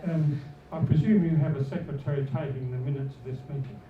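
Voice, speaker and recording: Hoarse older man in an echoing hall. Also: medium pitch, steady tone, fast, distant mic, phone-quality sound, crowd noise. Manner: blunt, chatty, rambling. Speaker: resident asking questions. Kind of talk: public meeting question from the floor. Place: civic centre meeting hall. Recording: echoey hall, noisy audience.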